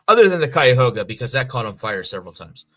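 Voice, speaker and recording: A man's voice: medium pitch, big pitch swings, fast, fairly bright tone. A middle-aged American man chatting casually as a podcast co-host, rambling and swearing freely. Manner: rambling, profane. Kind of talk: casual chat.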